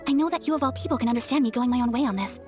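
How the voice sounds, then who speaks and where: A woman playing a refined, earnest young noblewoman in a video game, speaking politely and formally in a gentle, hesitant way. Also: on the high side, racing, close to an everyday voice. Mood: shy, resolved. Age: young adult. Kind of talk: video game character acting. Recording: faint music behind the voice.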